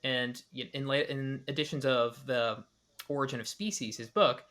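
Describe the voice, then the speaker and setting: A man's voice: medium pitch, fairly even intonation, normal speed, a bit bright. A man hosting a podcast, reacting to a clip with analytical commentary, hedging and restarting often. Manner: analytical, with many restarts and hedged speculation. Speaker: podcast host.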